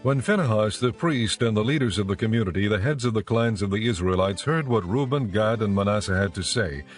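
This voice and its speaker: Low-pitched male scripture narrator. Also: lively intonation, soft background music. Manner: measured, formal.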